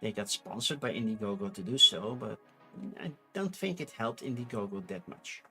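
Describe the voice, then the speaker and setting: A man's voice: lowish voice, animated, normal speed, gravelly, pushed and bright, faint music behind. Dutch-accented man chatting on a stream. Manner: chatty, rambling, many restarts and fillers. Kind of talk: casual livestream chat.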